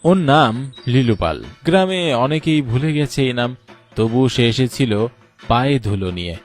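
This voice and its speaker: Male story narrator over clear music. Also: mid-range voice, expressive, unhurried. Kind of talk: scripted horror story narration. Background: music bed.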